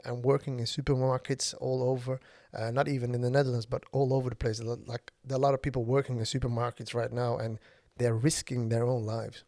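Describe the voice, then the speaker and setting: A man in his thirties, from Ethiopia and a native Somali speaker, hosting a casual solo podcast and speaking haltingly with many fillers. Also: medium pitch, gravelly, darkish tone, no echo, clean studio sound. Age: 30s. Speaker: podcast host.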